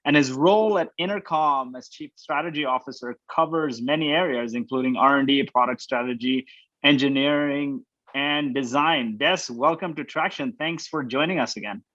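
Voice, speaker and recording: A man's voice: mid-range voice, normal speed, a bit bright, no echo, highs cut off. A man hosting a business interview, introducing a guest and asking questions. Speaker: interview host.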